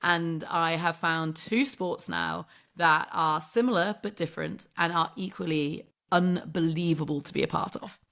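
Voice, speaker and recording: A woman from England in her thirties talks casually as a guest on a podcast, drawing on her work as a sports broadcast journalist. Her voice sits on the low side for a woman. She laughs often, plays down her own part, and fills her sentences with "like.". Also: moderate pace, vocal fry, few pauses, a bit bright. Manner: self-deprecating, laughs often, lots of "like" fillers.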